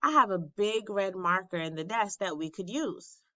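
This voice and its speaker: A woman's voice: mid-range voice, lively intonation, moderate pace, sharp tone, dry recording, dull audio. A woman reading the part of an eager, loyal third-grade boy in a storybook, emphatic and enthusiastic. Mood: helpful. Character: eager, loyal third-grade boy, supportive classmate who loves art.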